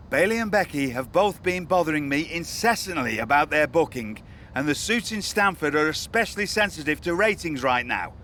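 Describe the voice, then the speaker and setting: A man playing a smooth-talking, evasive wrestling show manager in a video game cutscene. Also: fairly high pitch, fairly bright tone, slight background noise. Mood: breezy.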